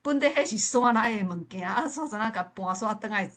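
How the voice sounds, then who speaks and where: A woman's voice: medium pitch, brisk, a bit bright. A woman from southern Taiwan with a southern Taiwanese accent, speaking casually and reminiscing as a participant in a forum discussion. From Pingtung, southern Taiwan.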